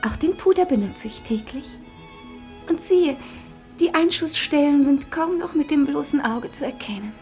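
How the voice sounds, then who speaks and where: A gushing female patient in an old radio spoof. Her high voice declaims with exaggerated earnest gratitude over faint music. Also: expressive, normal speed, darkish tone. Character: gushing female patient in a parody melodrama advert. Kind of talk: 1950s radio parody acting.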